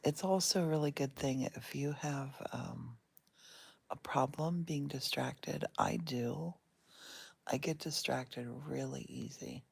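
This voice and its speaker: A middle-aged woman talking softly and unhurriedly from her bed, recorded on a phone she holds in one hand. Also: a bass voice, vocal fry, breathy edge. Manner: soft and whispery, unhurried. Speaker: vlogger. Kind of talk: casual guided meditation vlog. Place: in bed at home. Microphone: phone held in one hand.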